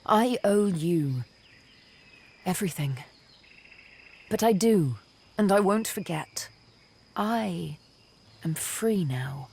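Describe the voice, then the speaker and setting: An actress voicing a vengeful, seductive elf assassin in a video game, deliberate and teasing, often murmuring. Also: female voice, on the low side, expressive, vocal fry, dark tone, breathy edge, heavily acted. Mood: tender, grateful.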